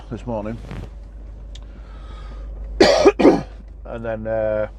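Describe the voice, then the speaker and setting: A man's voice: fairly low pitch, sing-song, drawn-out words. A tired man with a Yorkshire accent, chatting casually and rambling with lots of ums as he drives his truck. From Yorkshire, England. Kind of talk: casual chat while driving. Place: truck cab on the road. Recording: engine and road noise in a truck cab.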